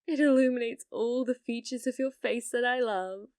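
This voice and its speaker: Girl voice actor doing clingy yandere girlfriend asmr, higher voice, really slow, sweet but kinda threatening, super dry close sound. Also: darkish tone, dry recording, close to an everyday voice. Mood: tender, doting. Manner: sugary, coaxing, with veiled menace. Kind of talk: ASMR roleplay, addressing the listener as a date.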